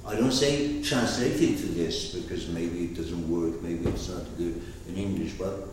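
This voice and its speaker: A man's voice: low-pitched, a little slow, noisy background. Man, Arabic accent, teacher, rambling, very echoey, distant mic. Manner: digressive, asks the room questions. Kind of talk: explaining terms to a class. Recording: roomy, far from the mic.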